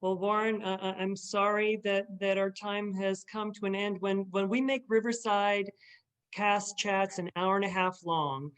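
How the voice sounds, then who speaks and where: A man hosting a casual interview, speaking effusively in halting phrases. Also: sits high, steady tone, a bit bright, smooth. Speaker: interview host.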